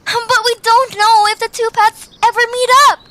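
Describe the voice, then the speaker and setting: A woman's voice: very high-pitched, level tone, moderate pace, noisy background. A woman voicing an anxious, kindhearted young girl adventurer in an audio drama, earnest and hesitant in her delivery. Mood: anxious, pleading. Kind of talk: animated audio drama acting.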